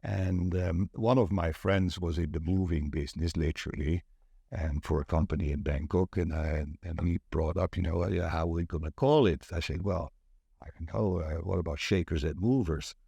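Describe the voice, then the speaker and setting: A middle-aged or older man from the Netherlands with a Dutch accent, telling stories in a measured, deliberate way as an interview guest. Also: low voice, steady tone, gravelly, mellow, husky, no room sound. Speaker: networking event organiser, interview guest. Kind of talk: conversational interview, recounting.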